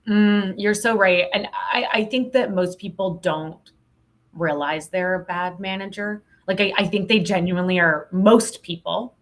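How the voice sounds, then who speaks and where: A woman speaking candidly and emphatically as an interview guest, in an expressive conversational way. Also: medium pitch, unhurried. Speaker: podcast guest, sales leadership expert.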